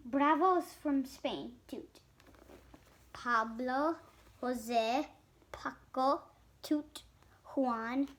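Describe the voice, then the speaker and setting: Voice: high-pitched, low-quality recording. A child of about seven or eight reading a picture book aloud, stumbling now and then on harder words. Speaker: young reader.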